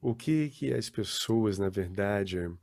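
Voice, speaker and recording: A man, interpreting live over a video call in a halting, self-correcting way. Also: on the low side, lively intonation, gravelly, a little mellow. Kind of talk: live interpretation for an online panel.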